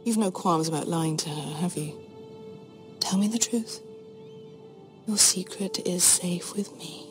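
An actress playing a cold, shrewd queen in a fantasy game, imperious and condescending in measured speech. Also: fairly low pitch, music in the background, close to an everyday voice. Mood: probing, condescending. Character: cold, shrewd, commanding adult queen, veiled threats. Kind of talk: dramatic fantasy game acting.

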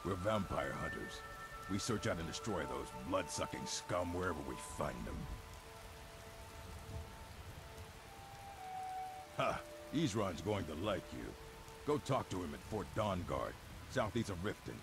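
An actor playing a gruff, stern vampire hunter recruiter in a video game, speaking in grim, scornful declarations. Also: male voice, deep voice, very animated, moderate pace, music in the background. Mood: fierce, approving. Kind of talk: video game character dialogue.

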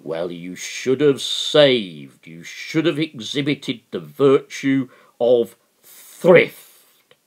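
A man's voice: medium pitch, expressive, very slow, pitched above the performer's own voice. Man putting on a smug, patronising capitalist boss. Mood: mocking. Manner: patronising, dismissive. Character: smug, affable male capitalist boss, falsely kind.

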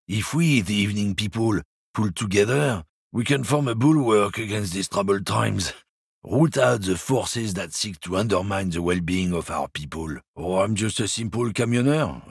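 A smug, bigoted lorry driver in a video game, gravelly and husky. His pitch swings up and down a lot as he confides and lectures. The studio recording is dry and close. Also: dry recording, heavily acted. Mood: preachy. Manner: smug, conspiratorial, chuckling.

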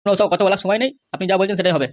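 A man voicing a touchy, scheming middle-aged village politician and father in a comic cartoon, blustering and defensive through an altered, pitched-up voice. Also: steady tone, very fast. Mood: touchy, firm.

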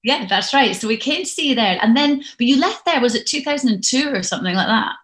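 A woman's voice: on the high side, expressive, fast talker, fairly bright tone. A British woman in her forties interviewing guests in a casual, chatty way over a video call from home. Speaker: radio interview host. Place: living room at home, indoors. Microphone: video call device, at arm's length.

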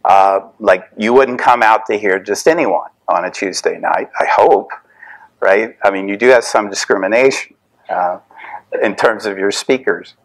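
A middle-aged man from Tennessee giving a conversational, animated lecture to a class from a podium in an indoor lecture room. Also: lowish voice, measured pace, poor audio. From Tennessee, USA.

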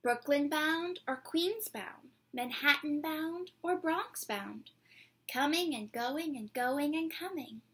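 A woman in her twenties reading a picture book aloud to children, with playful, deliberate, dramatic phrasing, recorded at home in a small room. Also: high voice, animated, fairly bright tone. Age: 20s. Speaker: children's read-aloud presenter.

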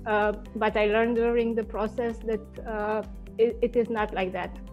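A woman in her thirties, a marketing head being interviewed over a video call, speaking in a measured, hesitant way with frequent fillers. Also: fairly high pitch, little pitch movement, a little mellow, muffled recording, faint music behind. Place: indoor home office. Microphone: wired earphone mic on video call.

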